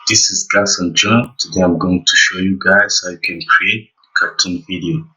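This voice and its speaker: A man walks through a software tutorial. His voice is low and bright, and he speaks slowly and haltingly. The microphone sounds a little distant. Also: fairly even intonation. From Rwanda. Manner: halting, hesitant, pausing mid-sentence. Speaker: tutorial presenter. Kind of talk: casual software tutorial narration.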